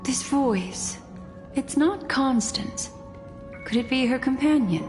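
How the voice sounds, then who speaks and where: In long reverb over music, a mysterious woman speaks slowly. She is a composed video game memory keeper with a cool, probing manner. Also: high voice, expressive. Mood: guarded curiosity. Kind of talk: dramatic video game voice acting.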